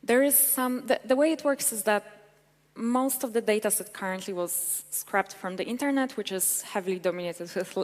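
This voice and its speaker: A woman's voice: medium pitch, moderate pace. Eager woman in a hall. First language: Polish. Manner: eager, chatty presenting with fillers. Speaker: conference speaker. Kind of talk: tech conference talk and Q&A. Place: large conference hall. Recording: reverberant conference hall.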